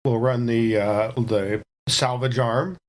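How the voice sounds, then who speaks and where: A man with a medium-pitched voice plays a tabletop role-playing game. He talks casually and describes what his character does in the third person. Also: fairly even intonation, slow.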